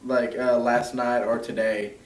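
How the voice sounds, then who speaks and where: A man's voice: medium pitch, level tone, unhurried. A young man co-hosting a casual movie review, chatty and loose, saying "like" a lot. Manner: chatty, lots of "like", acts out scenes. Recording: echoey room, mic far off.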